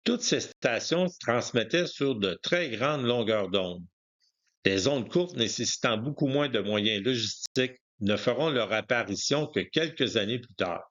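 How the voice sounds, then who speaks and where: Guy narrating a history documentary from a script, medium pitch, kinda muffled lo-fi audio. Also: animated, a little slow, a bit bright.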